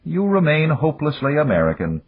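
A man putting on a calm, philosophical old industrialist. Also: fairly high pitch, breathy edge, voice pushed up, heavily acted. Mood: measured, amiable. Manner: measured, courteous, gently lecturing. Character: older Japanese male industrialist, round, calm, philosophical. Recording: tinny, phone-like lo-fi.

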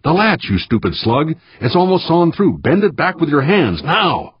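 A man's voice: on the low side, expressive, fast, slightly rough, no room sound, muffled recording. A man voicing a testy, impatient woman spaceship skipper who barks and scolds in audiobook dialogue. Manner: sharp, barking, scolding.

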